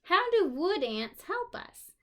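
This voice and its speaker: A woman teacher reading aloud to young children in an expressive manner, pausing for simple explanations and questions. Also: very high-pitched. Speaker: special education teacher.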